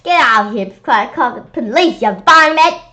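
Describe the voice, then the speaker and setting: A woman voicing a feisty, excitable lady inventor with an emphatic delivery in a children's storybook read-aloud. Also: high voice, brisk, roomy sound, pitched above the performer's own voice. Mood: indignant. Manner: emphatic, yelling.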